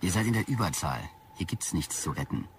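A man's voice: low-pitched, expressive, a little fast, slight background noise. A man playing an exasperated, colloquial guy in a sci-fi audio drama. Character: exasperated man fighting his faulty implant. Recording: loud music behind the voice.

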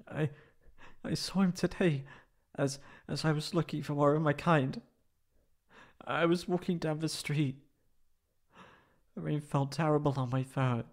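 A man voicing a wounded, anxious young catboy in an emotional ASMR roleplay, halting and stammering tearfully. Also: a little high, a little mellow. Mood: shaken, confiding.

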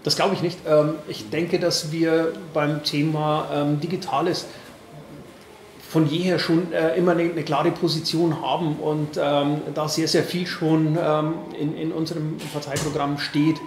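A man in his forties with an Upper Palatinate accent, answering questions at a press conference in a halting way, with many fillers and restarts. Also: on the high side, little pitch movement, echoey room, a little hiss or hum. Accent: Upper Palatinate, Franconian-tinged. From Bavaria, Upper Palatinate. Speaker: party board member at press conference.

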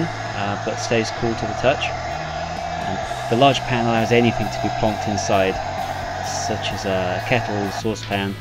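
A man narrates an informal how-to in a fairly low voice. He speaks haltingly, in short phrases, as if feeling for the next words. Guitar music plays clearly behind him. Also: rough recording. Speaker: narrator, solo sailor demonstrating a homemade stove.

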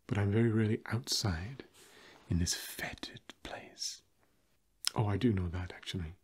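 A man playing a pompous, fussy aristocratic vampire, theatrical and archaic, in a soft ASMR roleplay. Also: lowish voice, moderate pace, breathy edge. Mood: fussy, condescending. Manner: theatrical, archaic, hesitant asides.

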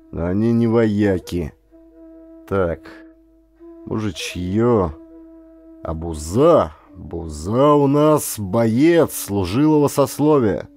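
A man's voice: lowish voice, warm tone. A man voicing a stern, irritable but shrewd aging colonial governor who speaks in a commanding, old-fashioned official way for an audiobook. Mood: decisive.

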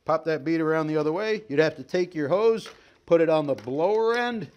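Middle-aged male sales trainer, slightly high voice, folksy and upbeat demo patter, living room set. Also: expressive, fairly quick, a little mellow, clear, resonant tone, muffled recording. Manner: folksy, upbeat, jokey patter while handling gear. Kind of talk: product demonstration.

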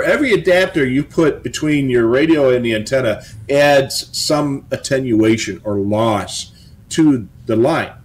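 Casting: middle-aged American man, medium pitch, informal co-host giving hobby tips. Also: poor audio. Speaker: co-host of a police scanner show. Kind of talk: casual chat with tips and anecdotes. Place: home office, indoors.

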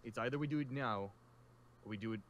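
A man's voice: medium pitch, fast talker, dull audio, a little hiss or hum, lightly acted. A man playing a level-headed, peacemaking survivor in an improvised zombie roleplay, reasoning and placating. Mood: calm, persuading.

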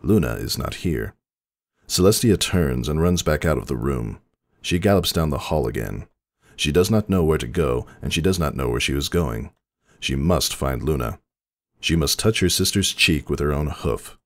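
Super deep guy narrating a dramatic story, dry close sound. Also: expressive, moderate pace, no echo.

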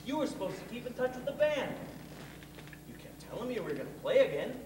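A man's voice: extremely high pitch, very animated, fast talker. A man on stage playing a brash, persuasive ex-con blues musician in a comedy, pushy and insistent. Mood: accusing. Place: theater stage, recorded from the audience. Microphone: far camera. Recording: echoey theater stage, distant noisy camera mic.

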